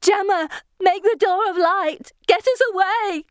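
A woman performs a frightened teenage girl in a fantasy audiobook. Her voice is high and shrill, wailing and pleading on the edge of hysteria, in a dry recording. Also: measured pace, no room sound. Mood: hysterical terror.

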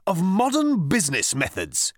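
A man's voice: high voice, huge pitch swings, extremely slow, dry recording, clean studio sound, voice pushed up, exaggerated voice. A man voicing a pompous, testy management consultant with a haughty, officious manner in a comic story reading.

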